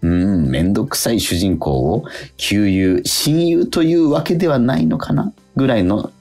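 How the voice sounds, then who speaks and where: Low, lively-voiced man hosting radio. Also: moderate pace, soft background music. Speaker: radio show co-host. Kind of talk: casual radio talk, reading listener letters.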